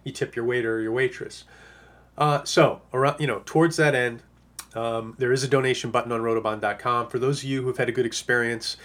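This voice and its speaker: Man, hoarse, casual fantasy sports podcaster, ordinary pitch, loose rambling delivery. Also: fairly even intonation, a little fast, fairly bright tone. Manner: rambling, lots of uh and you know. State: hoarse, losing his voice. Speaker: fantasy football analyst.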